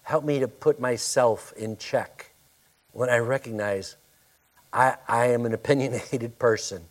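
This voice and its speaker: A male pastor preaching a sermon and praying, with a loud, forceful voice, emphatic when preaching and hushed in prayer. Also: medium pitch, fairly even intonation, measured pace.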